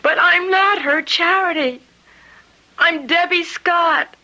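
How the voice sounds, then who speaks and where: Earnest, bright-voiced young woman. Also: extremely high pitch, slow pace, heavily acted, poor audio. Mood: torn, pleading. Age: young adult. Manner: hesitant, earnest, pleading. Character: torn, earnest young secretary, lonely orphan in love. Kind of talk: radio drama acting.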